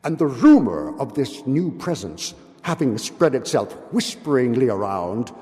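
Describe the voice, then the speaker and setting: A man giving a dramatic, theatrical reading of gothic horror, with drawn-out words, pauses and hushed drops. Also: mid-range voice, very animated. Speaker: literary reader. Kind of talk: dramatic literary recitation of a gothic horror tale. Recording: long hall-like reverb.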